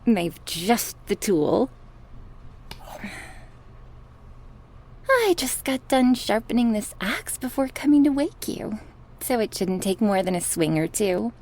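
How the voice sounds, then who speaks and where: Sadistic goddess captor, played by a woman, horror ASMR: husky, playfully menacing, sarcastic, with wide swooping intonation. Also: mid-range voice, sing-song, darkish tone. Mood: taunting. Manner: taunting, sarcastic, slow and drawn out with sighs.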